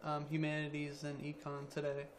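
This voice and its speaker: A young man around twenty, a college student athlete, answering interview questions quietly with many ums. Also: on the high side, monotone, normal speed, slight background noise. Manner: quiet, soft-spoken, hesitant with ums.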